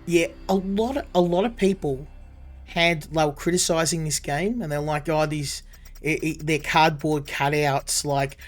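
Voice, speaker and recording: A man streaming a game and chatting casually, rambling and correcting himself, his voice still a little rough from a cold. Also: fairly high pitch, steady tone, unhurried, faint music behind. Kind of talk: casual live gameplay commentary.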